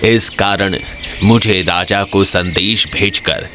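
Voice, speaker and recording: A man's voice: low voice, steady tone, slow, background noise. A man playing a dignified wandering holy man and royal envoy in a cartoon story, formal and solemn. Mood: grave. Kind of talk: Hindi cartoon story acting.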